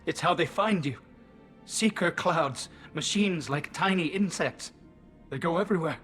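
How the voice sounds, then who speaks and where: A man's voice: a little high, a little slow, fairly bright tone, faint music behind. A man voicing a delirious, frightened young alien pilgrim in a video game, with a thin, shaky voice and broken, repetitive phrases. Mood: haunted, fearful. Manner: broken, repetitive clipped fragments.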